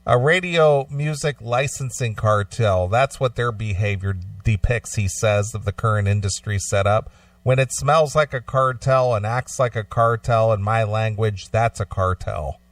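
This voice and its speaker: A man's voice: lowish voice, a little slow, no echo, far from the mic. A man co-hosting a talk show, speaking casually and stumbling now and then as he explains or reads aloud. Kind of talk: casual discussion and reading a news article aloud.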